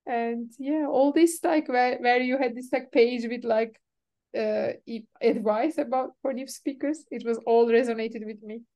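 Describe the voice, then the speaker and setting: A woman whose first language is Turkish, hosting a webinar and chatting quickly with many fillers over a video call. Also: on the high side, steady tone, dark tone. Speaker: webinar organizer. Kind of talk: casual webinar chat.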